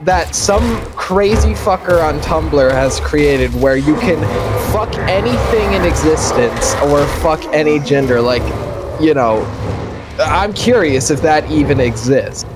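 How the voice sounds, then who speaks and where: Young man, high voice, casual crude podcast banter, rambling. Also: expressive, a little slow, over loud music. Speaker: podcast co-host.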